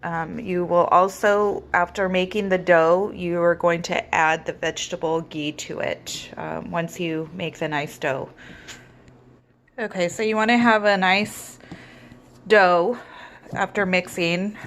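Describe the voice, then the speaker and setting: A woman with a cold and a raspy voice, giving relaxed step-by-step instructions in a casual cooking tutorial. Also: lowish voice, takes it slowly. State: hoarse with a cold. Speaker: home cook.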